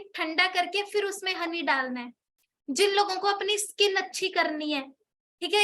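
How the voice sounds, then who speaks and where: Young woman, high and bright; persuasive, chatty seller who keeps asking the listener questions. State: slightly sore throat from a cold. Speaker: product seller explaining products. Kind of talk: persuasive product talk.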